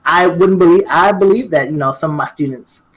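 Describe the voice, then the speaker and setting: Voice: mid-range voice, fairly quick, low-quality recording. A band teacher in their twenties chatting casually over a video call, earnest and thoughtful, with some playful teasing. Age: 20s. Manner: earnest and thoughtful, teasing, frequent "you know". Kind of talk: casual chat.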